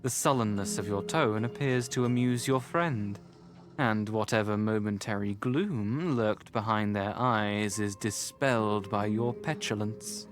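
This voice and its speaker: A man voices the narrator of a gothic visual novel. His voice sits fairly low and he tells the tale in measured, literary phrases. Soft music plays behind him. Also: close to an everyday voice. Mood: wry.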